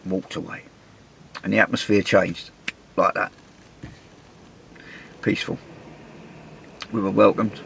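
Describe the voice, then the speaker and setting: An English man, middle-aged, with a gravelly, husky voice, telling a travel story in a chatty, wry, self-mocking way. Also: sits low, level tone, slow, long pauses, fairly bright tone, slight background noise, poor audio. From England. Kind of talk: casual anecdotal storytelling.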